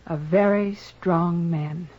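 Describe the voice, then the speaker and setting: A woman playing a weary, dignified former captive in a 1950s radio western, speaking in an earnest, halting, formal way. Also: on the low side, steady tone, a little hiss or hum. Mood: solemn, confiding. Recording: muffled 1950s radio recording.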